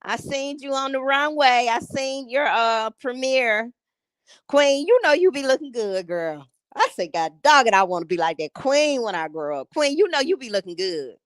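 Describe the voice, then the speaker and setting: Woman in her late fifties, from Gary Indiana, lively chatty livestream host, high voice, dry close sound. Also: moderate pace, no echo. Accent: Northern Indiana, Gary. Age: 50s. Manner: chatty, animated, laughs often, talks to her viewers. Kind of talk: casual livestream chat.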